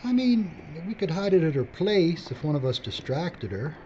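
A man's voice: on the high side, sing-song, moderate pace, warm tone. An actor playing a wry, unflappable cameraman in an audio drama. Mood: dry. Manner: wry, laid-back. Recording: dull, noisy audio drama recording.

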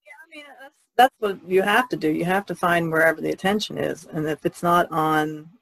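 A woman's voice: lowish voice, brisk, creaky, a bit bright, low-quality recording. A middle-aged or older American woman talking conversationally as a podcast co-host.

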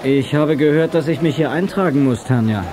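A confident, daring young male driver played by an actor speaks in an audio drama. His voice is a little high, with clear noise on the old cassette. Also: a little fast, close to an everyday voice. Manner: self-assured. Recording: noisy old cassette recording.

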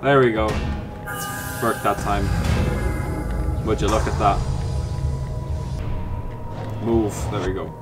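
A man's voice: fairly low pitch, steady tone, takes it slowly, long pauses, music in the background. Man muttering through a puzzle game. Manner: chatty, talks to himself while puzzling; mock-frustrated outbursts at the game. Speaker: gaming YouTuber. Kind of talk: let's play commentary.

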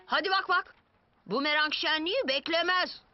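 Casting: actress for an eager cartoon young boy, very high voice, exclamatory delivery, muffled audio over music. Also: normal speed, lightly acted. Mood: excited. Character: excited young boy character with a new boomerang toy. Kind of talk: dubbed children's cartoon acting. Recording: dull lo-fi audio with loud music.

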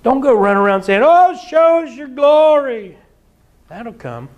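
A middle-aged man preaching a sermon in a church hall, animated and often breaking into mock singing and laughter. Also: very high voice, measured pace, dull audio. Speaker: pastor. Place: church hall with projector screen.

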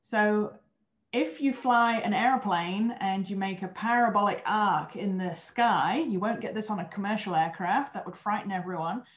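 A woman's voice: medium pitch, moderate pace. European woman on a roomy, muffled video call. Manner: earnest, explanatory, with small restarts. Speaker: guest, former astronaut trainer. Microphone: webcam on video call.

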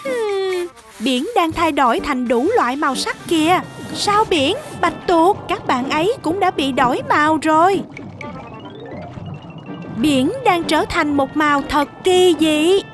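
Very high, slow cartoon mother shark. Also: expressive, music in the background. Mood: concerned. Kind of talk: slow children's cartoon narration in Vietnamese.

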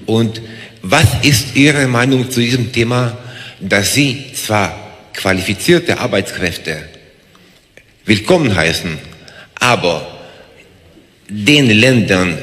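A man from Hungary, an audience member in a large hall, asking a long earnest question and slowing down on the words he stresses. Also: fairly low pitch, a bit bright. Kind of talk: question from the floor. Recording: echoey hall.

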